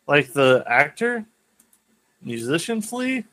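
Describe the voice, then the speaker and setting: Podcast dude tossing in offhand comments, bouncy highish voice. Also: male voice, big pitch swings, slow pace, sharp tone, no echo. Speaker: podcast co-host. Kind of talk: casual chat.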